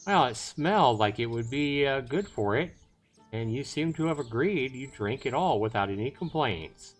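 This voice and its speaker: A man's voice: mid-range voice, expressive, normal speed, soft background music, close to an everyday voice. A man voicing a brusque, skeptical, scolding aunt in a dramatized fantasy visual novel reading. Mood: huffy.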